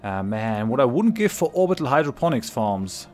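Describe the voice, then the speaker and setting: A man's voice: medium pitch, very animated, normal speed, a little mellow, faint music behind. A man with a cold narrating his strategy game as a let's play host, thinking aloud with many ums and restarts and laughing readily. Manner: laughs readily between remarks. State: has a cold. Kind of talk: casual gameplay commentary.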